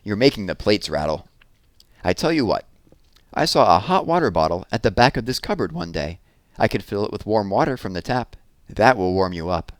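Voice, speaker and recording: A man's voice: on the low side, very animated, a little fast, no echo. A man voicing a helpful male teddy bear in a storybook, matter-of-fact and full of advice. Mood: practical.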